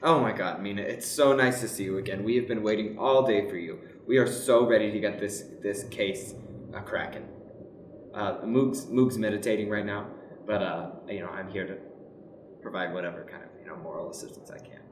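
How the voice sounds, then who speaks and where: A man's voice: fairly low pitch, a little fast, natural voice. A man playing a chatty, fussy best-friend sidekick in an improvised comedy radio play, rambling and hesitating as he goes. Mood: eager, rambling. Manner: hesitant, rambling, swooping emphasis. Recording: roomy echo, faint noise.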